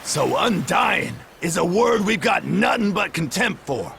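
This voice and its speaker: A man playing a gruff, seasoned seafarer in a video game, gravelly and folksy with clipped dialect phrasing. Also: high-pitched, sing-song, a little slow, bright, forceful tone, slight background noise, heavily acted. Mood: proud, firm. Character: gruff seasoned male Norman seafarer, plain-spoken elder.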